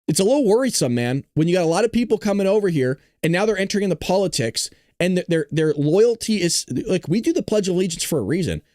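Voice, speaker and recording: Blunt, higher-voiced man doing political commentary. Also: big pitch swings, quick pace, few pauses, a little mellow, no room sound, clean studio sound. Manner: blunt, indignant, swearing, emphatic. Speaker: political commentary show host. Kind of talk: opinionated political commentary to viewers.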